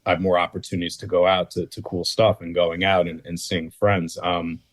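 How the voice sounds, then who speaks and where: A man's voice: sits low, little pitch movement, brisk, no echo. A thirty-year-old American man answering as a guest in a casual interview, choosing his words with some hesitation. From northeastern United States. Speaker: interview guest, former reality dating show cast member.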